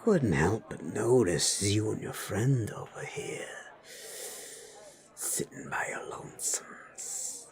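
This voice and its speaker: A man voicing a hesitant, insinuating stranger at a bar in an audio drama, with a breathy, halting delivery. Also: lowish voice, animated, in a whisper, a crowd in the background. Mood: quietly insinuating.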